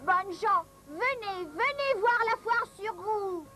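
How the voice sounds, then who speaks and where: A woman playing a wicked queen disguised as a fairground apple seller in a cartoon, shrill, coaxing and theatrical. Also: animated, slow pace, music in the background, full character voice. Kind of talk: French-language cartoon dub acting.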